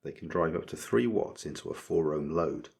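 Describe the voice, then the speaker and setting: A man narrating a scripted electronics project tutorial as its maker, explaining methodically in a measured way. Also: low voice, vocal fry, darkish tone, slightly rough.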